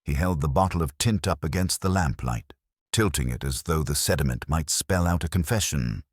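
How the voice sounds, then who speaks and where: Very deep-voiced man narrating wry mystery fiction. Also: expressive, no echo, studio quality. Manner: measured, wry storytelling. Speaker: narrator of a mystery audio drama. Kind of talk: scripted literary mystery narration.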